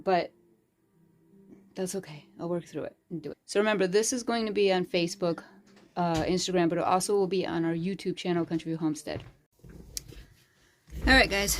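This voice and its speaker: A woman with a sore, hoarse throat, casually talking through a craft tutorial in a small bedroom craft corner, rambling with lots of fillers. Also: fairly low pitch, slight background noise. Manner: rambling and chatty, with frequent fillers. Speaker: crafting channel host. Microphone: phone camera.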